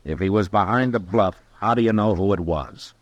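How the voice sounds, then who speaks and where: Low-voiced American frontier marshal, acted by a man. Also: fairly quick, muffled recording, close to an everyday voice. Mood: firm, questioning. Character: steady, fair-minded frontier US marshal. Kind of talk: old-time radio western acting.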